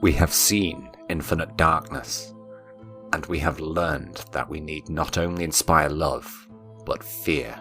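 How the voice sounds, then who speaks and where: A man narrates in an exceptionally deep voice. He sounds grave and theatrical, placing his words deliberately. Quiet music runs underneath the storytelling. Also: steady tone. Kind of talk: dramatic scripted story narration. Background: instrumental music.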